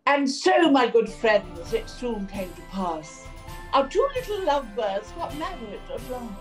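A cheeky, theatrical good fairy from a comic pantomime. Her voice is fairly high and very animated, over faint music. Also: measured pace. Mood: storybook cheer. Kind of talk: comic pantomime acting and rhyming narration.

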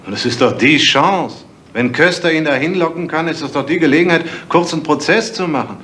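An actor playing an eager junior police detective in a 1970s German crime radio drama. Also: male voice, fairly high pitch, brisk, fairly bright tone, natural voice. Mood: eager, insistent.

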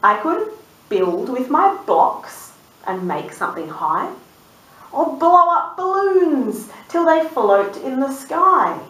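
A woman voicing a cheerful, daydreaming female sheep from a storybook, with sing-song, drawn-out rhyming lines. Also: high voice, big pitch swings, a bit bright, pitched above the performer's own voice, heavily acted. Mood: dreamy, cheerful. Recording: roomy, distant microphone.

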